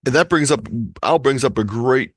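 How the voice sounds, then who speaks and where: A man's voice: mid-range voice, moderate pace, dry recording, clean studio sound. A man from Ohio giving an informal, explanatory presentation over a video call, speaking conversationally. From Ohio, United States. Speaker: presenter of an amateur radio talk. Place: online video meeting.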